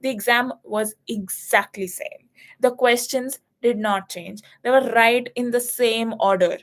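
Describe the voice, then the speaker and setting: A young woman from India in her twenties tells a story in a casual chat, animated and a little crude. Her voice sits on the high side for a woman. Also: unhurried. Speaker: student.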